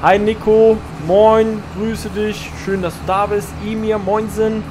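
A man's voice: high-pitched, level tone, slow, background noise. A man, streaming a farming game live, greeting viewers and answering chat as he plays. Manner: chatty, answers chat messages while playing.

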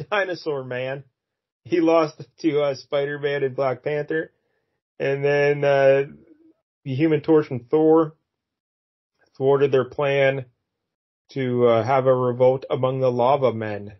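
A man's voice: mid-range voice, fairly even intonation, takes it slowly, dull audio. A man co-hosting a podcast, chatting casually about a comic book with lots of fillers and chuckles. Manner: rambling, many fillers, chuckles. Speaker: podcast co-host.

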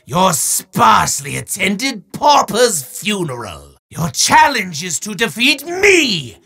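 Evil wizard for a game: high, piercing, forceful delivery, hammy and grandiose, maniacal villain with chatty asides. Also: male voice, huge pitch swings, vocal fry, breathy edge, full character voice. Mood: menacing, gloating. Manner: grandiose, theatrical, booming declarations dropping into fast asides. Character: bombastic, maniacal, hard-up male evil wizard. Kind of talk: theatrical video game villain voice lines.

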